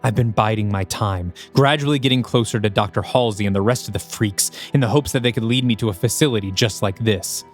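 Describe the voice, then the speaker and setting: A man's voice: on the low side, fairly quick, few pauses, soft background music, natural voice. A man playing an ancient undead mummy villain in an audio drama, speaking in a menacing, grandiose way. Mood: coldly grandiose.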